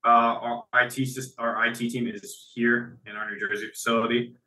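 Bright-voiced man answering in an echoey room. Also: mid-range voice, flat delivery, normal speed. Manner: conversational, repeats phrases as he restarts. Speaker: business guest. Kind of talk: casual interview answers.

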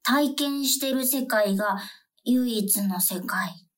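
A woman's voice: a little high, takes it slowly. A woman playing a determined, big-hearted female taxi driver with a Kyoto accent, emphatic and theatrical in a comic radio drama. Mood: hesitant, concerned. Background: background music.

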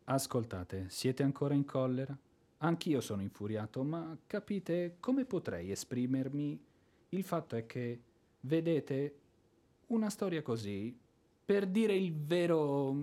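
An actor playing a hot-headed, lovestruck ex-army officer in a theatrical radio drama, bombastic and impulsive. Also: male voice, high voice, full character voice. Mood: awkward, agitated. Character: blustering, hot-headed ex-artillery officer, helplessly smitten.

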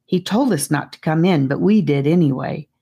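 A woman's voice: low-pitched, expressive, normal speed, vocal fry, warm tone, slightly rough, heavily acted. A woman voicing an earnest, talkative young boy in a storybook reading. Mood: earnest, explaining. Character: earnest young boy, talkative older kid explaining himself.

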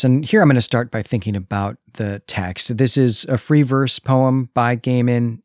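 Male podcast host, forties, mid-pitched, conversational and considered when reviewing, recorded dry. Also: no echo. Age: 40s. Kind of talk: conversational album review discussion.

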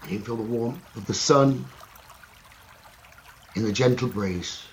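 Man, mid-pitched voice, guided meditation, slow soothing delivery, short phrases, long pauses, faint trickling stream behind. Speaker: meditation teacher. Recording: running stream water noise behind voice. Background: trickling stream water ambience.

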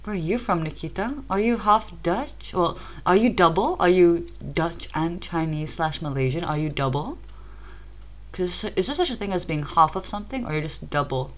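Young woman cohost, kinda low voice, rambles and giggles a lot. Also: moderate pace. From Asia. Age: young adult. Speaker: co-host. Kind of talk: casual chat.

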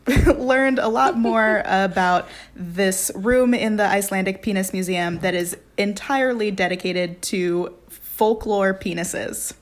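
A woman presents a fact on a relaxed podcast. She is enthusiastic, keeps breaking into giggles and leans on little fillers as she goes. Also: mid-range voice, measured pace. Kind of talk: casual podcast chat.

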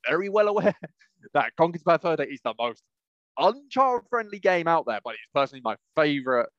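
A man's voice: a little high, big pitch swings, brisk, a bit bright, no echo, muffled recording. A British man co-hosting a podcast in casual chat, rambling and often correcting himself as he goes. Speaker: podcast co-host.

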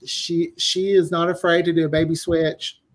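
A man with a fairly high voice talks casually as a guest writer in an interview. He explains things in loose, conversational bursts and acts out quoted reactions as he goes. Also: little pitch movement, normal speed. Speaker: podcast guest, audio drama writer.

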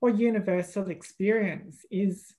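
A woman's voice: medium pitch, fairly even intonation, a little slow, mellow. A middle-aged Australian woman, an employment lawyer speaking as a podcast guest, thoughtful and hesitant, with many fillers and restarts. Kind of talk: podcast interview.

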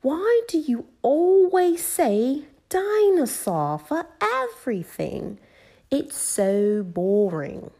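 A woman plays a little girl piglet from a children's storybook, eager and a bit bossy. The voice is high and childlike, swooping up and down with exclamations. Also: huge pitch swings, slow pace, few pauses, dark tone, full character voice. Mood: annoyed.

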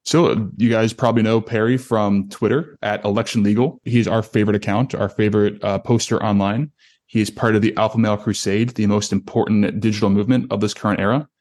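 Male podcast host, fairly low voice, casual chat delivery, talking to a guest. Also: fairly even intonation, a little fast, darkish tone.

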